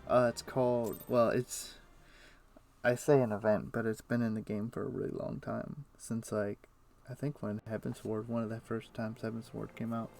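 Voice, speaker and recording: Low-voiced man chatting while gaming. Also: little pitch movement, moderate pace, vocal fry, a little mellow, slight background noise. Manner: rambling explanations with fillers. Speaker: game streamer. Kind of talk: casual chat while playing.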